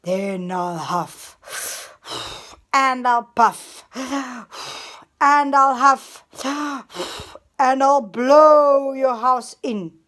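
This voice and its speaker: Woman doing a big bad wolf, greedy and menacing, slow drawn-out loud threats, storybook read-aloud. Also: fairly high pitch, sing-song, vocal fry, full character voice.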